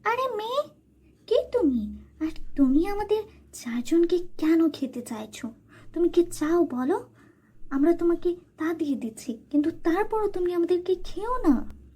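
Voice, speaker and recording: A woman's voice: very high-pitched, expressive, unhurried, warm tone, voice pushed up. A woman voicing a timid female fairy in a cartoon, speaking in an anxious, pleading manner. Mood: fearful pleading.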